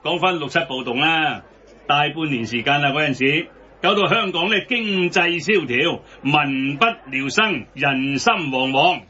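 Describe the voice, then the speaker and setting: A man's voice: a little high, unhurried, pushed and bright, dull audio. An actor playing a blustery, worldly-wise middle-aged man who scolds and preaches in colloquial Hong Kong Cantonese in a radio satire. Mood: grave.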